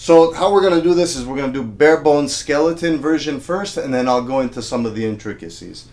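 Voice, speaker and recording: A man's voice: mid-range voice, expressive, a little fast, a little mellow. A man giving a casual, friendly video lesson on a traditional instrument, counting beats aloud. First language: English. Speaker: music teacher.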